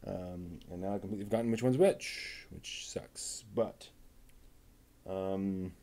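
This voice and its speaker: A man with a low, gravelly, dark-toned voice talks slowly as he builds a model kit. He mutters half to himself, reading off part numbers in a relaxed, methodical way. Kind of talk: casual running commentary.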